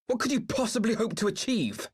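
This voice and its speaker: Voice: mid-range voice, lively intonation, a little fast, breathy edge. An actor playing an earnest, defiant young hero in a video game cutscene, formal and impassioned.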